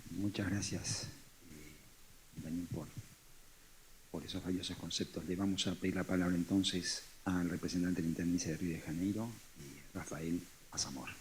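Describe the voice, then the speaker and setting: A man's voice: deep voice, steady tone, moderate pace, a little hiss or hum, low-quality recording. A man moderating a panel, giving a polite, brief handover to the next speaker.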